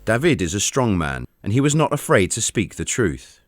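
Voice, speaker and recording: A man narrating a travel documentary in an earnest, measured storytelling manner, recorded as a voice-over. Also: fairly low pitch, big pitch swings. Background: faint background music.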